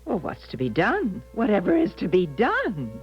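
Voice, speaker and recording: A woman's voice: mid-range voice, huge pitch swings, moderate pace, slightly rough, soft background music, full character voice. An actress playing an anxious, insistent middle-aged mother in a 1970s radio drama, agitated and emphatic. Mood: incredulous. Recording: dull lo-fi 1970s radio broadcast.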